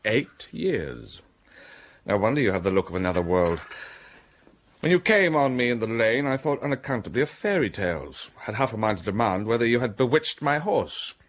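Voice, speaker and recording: An actor playing an imperious gentleman in a radio drama, questioning curtly. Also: medium pitch, sing-song, moderate pace, close to an everyday voice. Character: brusque, commanding gentleman of the manor.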